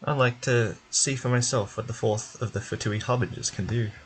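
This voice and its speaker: A man's voice: mid-range voice, steady tone, normal speed, slight background noise. A man, a game streamer, reading game dialogue aloud.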